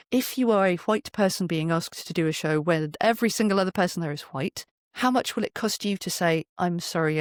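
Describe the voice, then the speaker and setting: A British speaker with an expressive manner, talking as an interview guest and often acting out imagined quotes. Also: medium pitch, dry recording, clean studio sound. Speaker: podcast guest, writer and poet. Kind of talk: animated interview conversation.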